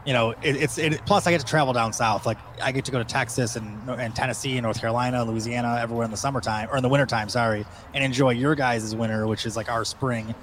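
A man's voice: medium pitch, fairly bright tone, a little hiss or hum. A man in his thirties from Michigan, chatting casually as a podcast guest in a rambling, talkative way. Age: 30s. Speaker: guest angler.

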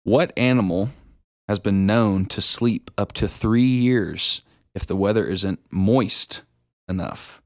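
A man's voice: lowish voice, slow pace, no echo, studio quality. A man co-hosting a podcast in a laid-back, informal way, chatting like he is with a buddy. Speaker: podcast co-host. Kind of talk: casual banter.

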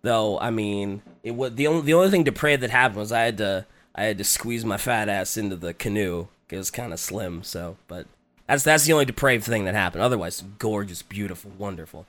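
A man co-hosting a podcast, talking casually with a raspy, congested voice while getting over a cold, hesitant and full of fillers. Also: on the low side, brisk, a bit bright. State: recovering from a cold. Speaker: podcast co-host. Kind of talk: casual chat.

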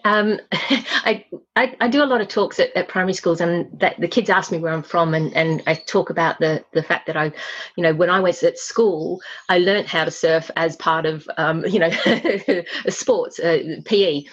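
A woman's voice: lowish voice, normal speed, husky, dull audio. A woman who grew up in Australia, a guest on an interview podcast, chatting casually with frequent laughs and many ums.

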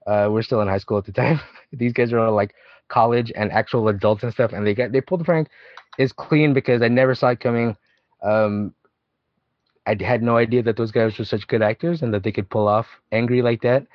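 A man's voice: fairly quick, no room sound. A man co-hosting a casual podcast chat, telling a story with lots of fillers and acting out the quotes. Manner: animated storyteller, acts out quotes in a higher voice. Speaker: podcast co-host. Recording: dull lo-fi sound.

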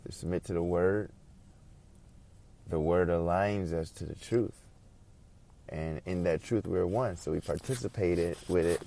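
A man's voice: sits low, creaky, a little mellow, a little hiss or hum. A man preaching an informal sermon, earnest and reflective, repeating and building on his phrases.

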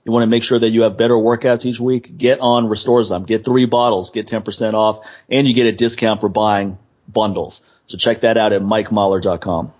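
A man's voice: medium pitch, darkish tone. A man hosting a podcast, chatting and giving plugs in a blunt, informal way. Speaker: podcast host. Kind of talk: casual podcast chat and plugs. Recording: slightly muffled, dull podcast audio.

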